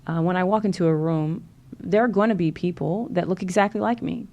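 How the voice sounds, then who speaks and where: A woman's voice: fairly low pitch, a little fast, a little mellow, dull audio. A woman from Louisiana with a Southern accent, a podcast guest talking earnestly and encouragingly, with many fillers. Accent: Southern American. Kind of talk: casual interview chat.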